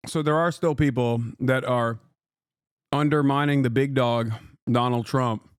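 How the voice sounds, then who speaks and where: Male live streamer, mid-pitched and smooth, sardonic rhetorical delivery, casual political commentary. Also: fairly even intonation, a little slow, no echo.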